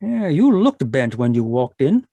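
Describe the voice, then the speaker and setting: A man's voice: medium pitch, very animated, a little slow, dark tone, no echo. A man playing a genial, boozy ex-doctor sidekick in a 1940s-style radio drama, talking in a florid, rambling, mock-dignified way. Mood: wry, curious. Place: live remote video-call performance.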